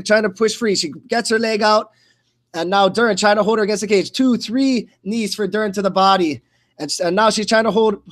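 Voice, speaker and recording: Male play-by-play sports commentator, high register, blow-by-blow delivery with frequent stumbles and repeats. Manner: halting, repeats words and stumbles mid-phrase.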